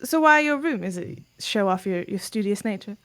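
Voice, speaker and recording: A woman's voice: medium pitch, expressive, normal speed, natural voice. A woman voicing a polite, bookish male scholar with a British accent, formal and a little hesitant. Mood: curious.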